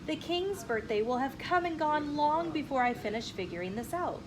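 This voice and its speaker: A harried storybook noblewoman read by a woman, practical but fretful. The voice sits fairly high. Clear ambient noise surrounds it. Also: expressive, moderate pace. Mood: exasperated. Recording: clearly noisy room with ambient sound.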